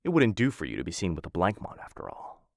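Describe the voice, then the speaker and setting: Man acting a low, creaky-voiced courtly assassin suitor. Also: huge pitch swings, quick pace, no room sound, clean studio sound. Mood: suave, courting. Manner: formal, courtly phrasing with hesitant softer asides. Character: smitten male assassin of noble house, suave, courtly, playful. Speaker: voice actor in a boyfriend roleplay audio. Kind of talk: ASMR roleplay acting. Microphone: close studio-style mic.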